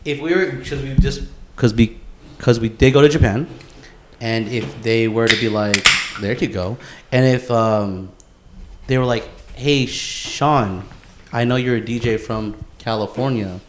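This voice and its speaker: A man's voice: mid-range voice, measured pace, slight background noise. A man co-hosting a casual podcast, talking in an emphatic, slangy way full of bro and dude and repeated phrases. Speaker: podcast co-host.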